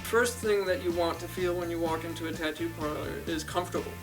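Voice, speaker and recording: Tattoo artist guy, slightly high voice, casual interview, guitar music behind. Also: steady tone, fast. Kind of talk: casual reality show interview talk.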